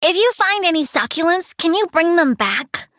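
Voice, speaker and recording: A woman's voice: very high voice, expressive, normal speed, fairly bright tone, no echo, clean studio sound. A woman voicing a volatile young plant-powered girl villain in a video game, girlish, bratty and blunt, with gleeful menace. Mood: matter-of-fact, eager. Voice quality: girlish, childlike. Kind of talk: video game character voice lines.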